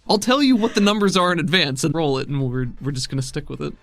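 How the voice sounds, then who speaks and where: A man's voice: on the high side, expressive, quick pace, a bit bright, no echo. Descriptive man running a tabletop game. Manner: descriptive, explanatory. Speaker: game master. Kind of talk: narrating a tabletop roleplaying game. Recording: music behind the voice. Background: music.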